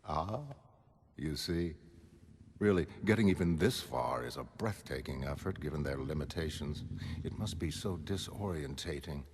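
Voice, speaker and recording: Low-voiced, aloof genius mastermind. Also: male voice, darkish tone, a little hiss or hum. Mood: detached, musing. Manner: formal, measured, erudite. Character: brilliant, aloof, self-assured male mastermind, soliloquizing to his pet. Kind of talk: scripted dramatic monologue in a motion comic.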